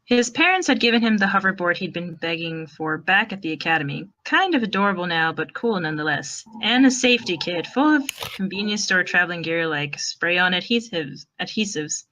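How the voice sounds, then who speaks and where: A woman's voice: on the low side, animated, brisk, few pauses, sharp tone, a little hiss or hum, rough recording. A woman reading fan fiction aloud as an amateur narrator, in a wry, informal storytelling manner.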